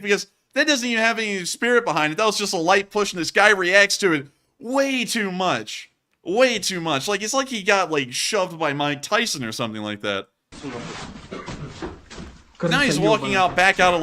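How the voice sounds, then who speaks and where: A man hosting a war news show, talking casually over video clips, speaking straight to his viewers and often sounding incredulous. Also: high voice. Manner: animated, talks straight to viewers, incredulous asides.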